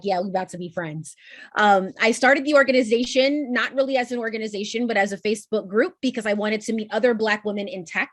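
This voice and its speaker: A woman's voice: medium pitch, no echo. A woman hosting an online panel over a video call, chatty and enthusiastic. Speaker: panel moderator.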